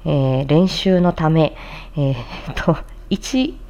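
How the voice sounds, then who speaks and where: A woman's voice: deep voice, expressive, slow pace, warm tone, lo-fi sound. A woman voice performer presenting a practice show, explaining and demonstrating recitation with attention to articulation. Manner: articulation-focused, demonstrating and explaining tricky phrases. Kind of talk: casual explanatory talk with formal script recitation.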